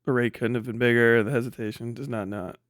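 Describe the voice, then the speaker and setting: A man hosting a livestream, giving casual, profanity-laden political commentary in a rambling, animated manner. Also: mid-range voice, fast talker, no room sound, clean studio sound. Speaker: livestream host.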